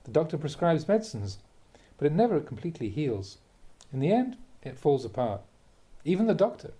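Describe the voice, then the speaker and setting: Mellow-voiced man reading a Dharma talk. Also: a little high, big pitch swings. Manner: measured, emphatic, with rhetorical questions. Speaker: Buddhist monk reading a teacher's talks aloud. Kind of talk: reading a translated Dharma talk aloud, with brief asides.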